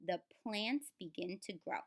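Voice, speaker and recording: A woman's voice: medium pitch, lively intonation, a little slow. A woman reading a children's book aloud in a teaching way, speaking straight to the children and adding simple explanations. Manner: instructive, addresses children directly, sounds out words.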